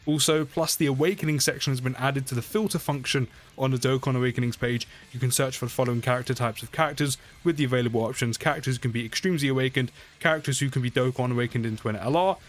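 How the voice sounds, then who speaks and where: A man giving casual game update commentary in a chatty way, often repeating phrases for emphasis. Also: medium pitch. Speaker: gaming video creator. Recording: music behind the voice. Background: music.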